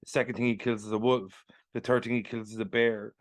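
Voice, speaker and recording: A man co-hosting a casual film chat, chiming in with quick agreement and jokes. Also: mid-range voice, level tone. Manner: quick agreeing interjections, laughs, jokey. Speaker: podcast co-host.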